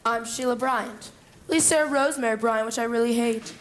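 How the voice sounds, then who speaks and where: Frank late-twenties auditioning dancer, played by a woman, high voice; live stage musical, faint noise. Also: moderate pace, lightly acted. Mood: dry.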